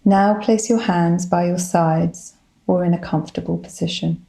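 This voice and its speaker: A woman leading a guided body scan meditation, giving gentle, soothing instructions in a calm manner. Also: fairly low pitch, moderate pace, gravelly, poor audio. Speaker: psychologist, guided meditation leader.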